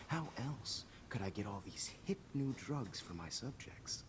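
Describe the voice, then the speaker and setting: An actor playing a smug, menacing teacher and photographer villain in a video game, with a calm, condescending, gloating delivery. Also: male voice, lowish voice, a little slow, slight background noise. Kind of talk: dramatic video game acting.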